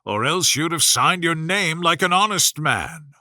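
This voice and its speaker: A man's voice: on the high side, expressive, unhurried, bright, forceful tone, no echo, studio quality, exaggerated voice. A man voicing a pompous, easily flustered old storybook king who makes hasty, self-important pronouncements. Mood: imperious.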